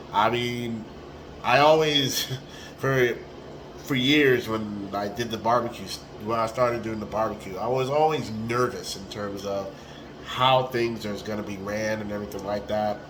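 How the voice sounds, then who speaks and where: Hoarse man rambling into his phone. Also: lowish voice, a little slow, background noise. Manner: relaxed and rambling, with many ums and restarts. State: hoarse, raspy voice. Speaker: tournament organizer. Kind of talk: casual selfie video recap. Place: small room indoors. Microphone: phone at arm's length.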